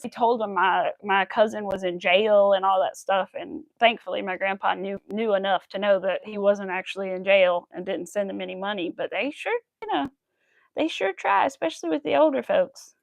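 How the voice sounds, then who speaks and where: A woman's voice: medium pitch, gravelly, no room sound. An American woman in her early thirties chatting casually and in a rambling way on a crafting livestream. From United States. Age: early 30s. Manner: chatty, rambling, counts stitches aloud. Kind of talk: casual livestream chat while crocheting.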